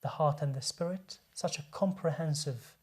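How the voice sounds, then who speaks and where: A man's voice: on the high side, normal speed, a little mellow, slightly rough. A man who works as a doctor, speaking as a guest in a studio discussion and explaining his points earnestly, often repeating words as he restarts a sentence.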